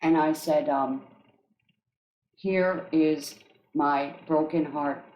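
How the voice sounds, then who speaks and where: Gentle accented woman in an echoey room. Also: low-pitched, steady tone, extremely slow. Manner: gentle, checks in often with listeners. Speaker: energy healer guest. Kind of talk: describing guided healing tracks on a live call.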